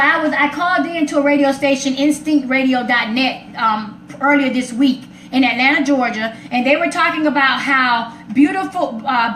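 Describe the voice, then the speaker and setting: A woman's voice: high voice, fairly bright tone. A woman hosting an informal live stream, talking in a sassy, confrontational, emphatic way to her followers. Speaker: live stream host taking callers. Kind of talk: informal opinionated monologue to followers. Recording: echoey room with faint background noise.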